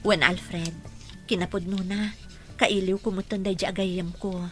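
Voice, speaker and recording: A woman acting as a dutiful, worried young woman in a radio drama, speaking in an earnest, soft-hearted manner. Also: medium pitch, steady tone, moderate pace, soft background music, close to an everyday voice. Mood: earnest, anxious.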